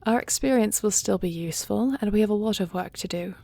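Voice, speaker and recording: Woman acting as earnest, creaky-voiced fantasy queen. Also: mid-range voice, dark tone, no room sound. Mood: reflective. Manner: formal, deliberate phrasing. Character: dutiful dragon-shifter queen, earnest and measured, gracious monarch. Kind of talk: fantasy audio roleplay acting.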